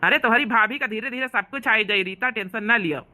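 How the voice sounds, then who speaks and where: A woman's voice: fairly high pitch, fast talker, bright, forceful tone. A woman voicing a teasing, easygoing young village husband in a cartoon comedy, with an Awadhi accent. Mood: amused.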